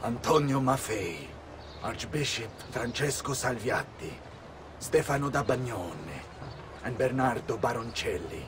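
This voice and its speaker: An actor voicing a measured, dignified Italian nobleman in a video game, formal, with an Italian accent. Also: mid-range voice, animated, noisy background, lightly acted. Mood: grave, gracious. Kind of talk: video game cutscene acting.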